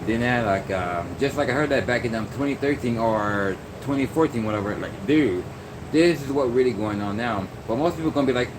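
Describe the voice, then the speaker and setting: Young man vlogger, middle pitch, meandering casual talk, noisy air-conditioned room. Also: animated, fairly quick. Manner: meandering, many fillers and restarts. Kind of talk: rambling casual monologue. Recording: noisy background hum.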